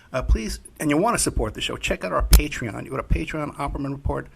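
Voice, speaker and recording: A man's voice: medium pitch, creaky, slight background noise, rough recording. A man hosting a podcast as a private investigator, talking loosely with frequent fillers. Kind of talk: casual podcast hosting and ad reads.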